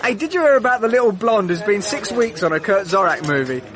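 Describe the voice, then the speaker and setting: An actor playing a cheeky, gleeful, joking film crew hand in a radio drama. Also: male voice, sits high, animated, fast, crowd noise.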